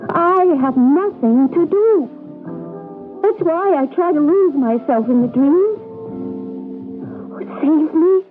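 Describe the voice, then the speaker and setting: A woman's voice: sits high, lively intonation, slow pace, pauses often, faint music behind. An actress playing a desperate, yearning young woman in a radio drama, pleading and earnest. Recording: narrowband 1980s radio broadcast.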